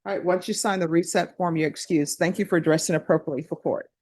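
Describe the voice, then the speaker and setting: Woman judge, lowish voice, brisk and procedural, courtroom docket. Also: fairly even intonation, darkish tone.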